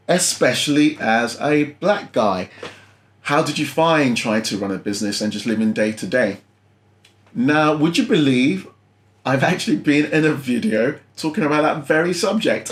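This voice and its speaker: A man from London hosting a food channel, chatting casually and laughing often as he reacts to a video. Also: mid-range voice. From London, England. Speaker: YouTube food channel host. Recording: roomy room sound.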